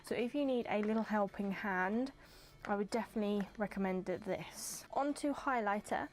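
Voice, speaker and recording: A young woman with a congested, stuffy voice, chatting casually as a beauty vlogger and rambling through her product reviews. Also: mid-range voice. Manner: chatty and rambling, lots of "like" and "kind of". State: congested from hay fever. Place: hot indoor room with windows open.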